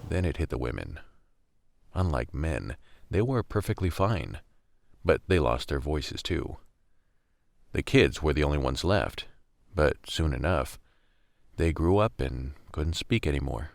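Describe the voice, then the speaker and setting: A man playing a weary, laconic survivor narrating a radio drama in a gravelly voice. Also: lively intonation, measured pace, no echo, clean studio sound, heavily acted. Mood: weary, reflective. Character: weary, guarded male narrator-survivor of a pandemic America. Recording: rumbling background noise.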